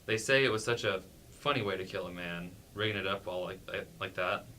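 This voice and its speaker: A man's voice: deep voice, fairly quick, pushed and bright. A man playing a timid, dutiful sheriff's wife in an amateur staged play reading, with hesitant, deferential delivery. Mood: hesitant, earnest. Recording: faint background noise.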